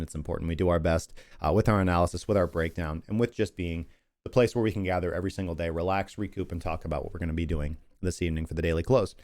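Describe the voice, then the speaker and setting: A man's voice: sits low, darkish tone. A man hosting a crypto livestream, chatty and rambling as he talks through charts and thanks viewers, losing his voice. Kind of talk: casual market analysis and sign-off.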